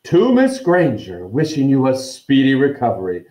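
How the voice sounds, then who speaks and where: A pompous, vain male teacher from a storybook, put on by a man, pitched above his normal voice. The words come out florid and grandiose, in a roomy recording. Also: wildly swooping pitch, moderate pace, dark tone. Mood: self-important.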